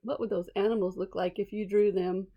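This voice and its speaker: A woman's voice: mid-range voice, fairly even intonation, a little fast, lo-fi sound. A woman reading a children's storybook aloud expressively, with small asides to listeners. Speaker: storybook reader.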